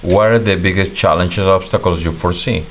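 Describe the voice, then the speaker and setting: A man's voice: deep voice, fairly even intonation, a little fast, noisy background. A man interviewing a student team, often rephrasing his questions as he goes.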